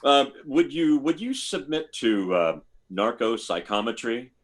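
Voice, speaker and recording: A man acting a slow, stammering army psychiatrist. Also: mid-range voice, big pitch swings. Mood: hesitant, clinical. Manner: stammering, hemming, deliberate. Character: hesitant, probing male army psychiatrist, methodical. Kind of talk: old-time radio sci-fi drama acting.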